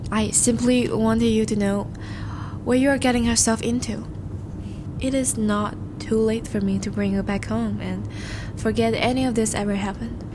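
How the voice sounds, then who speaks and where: A woman playing a charming, once-noble vampire in a romantic audio roleplay, speaking formally and intimately to the listener, with a soft, hesitant manner. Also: on the high side, steady tone, moderate pace, background noise, natural voice. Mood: earnest, apologetic. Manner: formal, courteous, hesitant, soft-spoken confessions.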